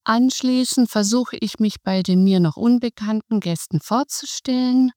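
A middle-aged woman, a guest on a podcast, calmly explaining her volunteer work in measured sentences. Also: on the high side, no room sound, clean studio sound. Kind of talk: podcast interview.

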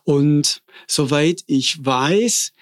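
A man in his fifties, a hospital chaplain, answering interview questions thoughtfully with frequent pauses and hesitations. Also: a little high. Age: 50s.

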